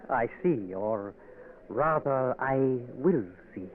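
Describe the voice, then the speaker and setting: Polite professor, lively voice, tinny old radio sound. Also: male voice, medium pitch, takes it slowly. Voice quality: polite, hesitant, courteous. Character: polite curious male college professor. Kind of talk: 1940s radio acting.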